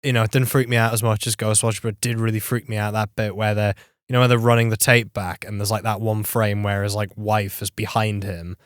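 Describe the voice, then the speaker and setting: A man chatting informally as a podcast panelist, retelling things in an animated, conversational way. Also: on the low side, fairly quick, clean tone, dry recording, studio quality. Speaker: podcast panelist discussing films. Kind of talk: casual chat.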